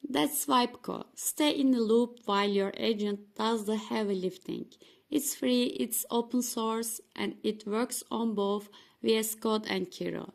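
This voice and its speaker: A woman whose first language is Turkish walks through software she made, in a scripted, friendly presenter tone. Her voice sits a little high, with a noticeable creak and gravel to it. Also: unhurried. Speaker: developer demoing her software. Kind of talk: scripted screen-recording walkthrough.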